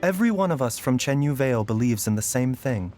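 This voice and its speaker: A man voicing a calm, gentle young doctor who speaks in a measured, reassuring way. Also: mid-range voice, faint music behind, lightly acted.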